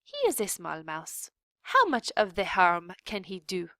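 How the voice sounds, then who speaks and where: A woman's voice: lowish voice, very animated, unhurried, pushed and bright, dry recording, studio quality, heavily acted. A woman doing a vain mother mouse. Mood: dismissive. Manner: sighing, dismissive.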